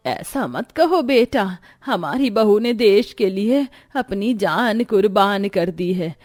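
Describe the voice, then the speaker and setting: A woman voicing a doting elderly grandmother in a cartoon moral story, speaking in an affectionate, drawn-out way. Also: medium pitch, expressive, a little mellow, no room sound, clean studio sound. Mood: pleading.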